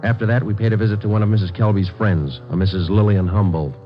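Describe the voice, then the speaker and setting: A deadpan American police detective, played by a man from classic radio crime drama. He is low-voiced and clipped, rattling off facts quickly without fuss. The sound is a dull old broadcast with music behind. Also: close to an everyday voice. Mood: flat, factual. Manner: deadpan, terse, matter-of-fact. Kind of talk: 1940s-50s radio drama narration, clipped and factual. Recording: dull vintage radio recording.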